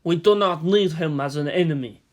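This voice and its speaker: A man's voice: fairly high pitch, normal speed, close to an everyday voice. A man voicing a boisterous, commanding male Soviet Premier in a story reading, with an emphatic, grand manner. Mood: grave, intense.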